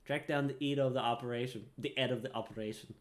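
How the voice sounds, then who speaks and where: A man voicing a gruff, chatty working-class tavern keeper from a fantasy game, with folksy, halting delivery. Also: mid-range voice, steady tone, natural voice. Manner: folksy, colloquial, stumbles while reading aloud. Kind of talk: reading fantasy game dialogue aloud.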